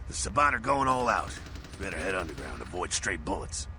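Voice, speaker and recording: Deep-voiced, streetwise vampire mentor. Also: male voice, big pitch swings, a little fast, background noise. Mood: watchful, instructing. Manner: colloquial, wry, conspiratorial. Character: streetwise veteran male vampire mentor, gruff but easygoing. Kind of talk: video game character dialogue.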